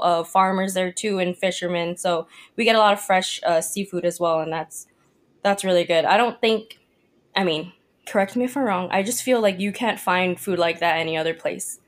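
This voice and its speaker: Chatty young woman from Hawaii. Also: medium pitch. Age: young adult. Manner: friendly and chatty, lots of "like" and "uh". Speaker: cook and guest. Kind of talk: casual interview chat.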